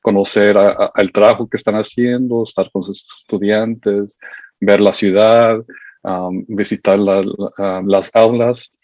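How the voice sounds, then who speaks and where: A middle-aged man from the United States giving a seminar talk as a university education researcher. He speaks haltingly, with many fillers, and admits he is nervous. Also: lowish voice, little pitch movement, darkish tone, no room sound. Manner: halting and hesitant, full of fillers, admits to nerves. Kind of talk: seminar presentation. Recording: dull lo-fi dry sound.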